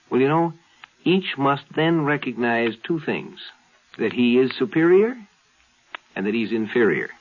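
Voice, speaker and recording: A man hosting a 1950s science fiction radio series, explaining ideas in a deliberate, philosophical way. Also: medium pitch. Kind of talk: reflective spoken introduction, 1950s radio. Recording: thin, phone-like vintage radio recording.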